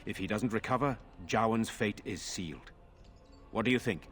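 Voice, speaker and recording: An actor playing a grave, measured nobleman in a fantasy game, speaking formally with a husky voice. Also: fairly low pitch, fairly bright tone, lightly acted. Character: grave, measured nobleman ruling in his brother's stead.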